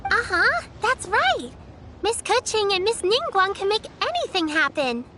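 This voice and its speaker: A sweet, very high little girl. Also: very animated, a little slow, a little hiss or hum, exaggerated voice. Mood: sweet, eager. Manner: sweet, polite and eager. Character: sweet, polite little girl, earnest and caring. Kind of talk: game cutscene voice acting. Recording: game audio with loud background music.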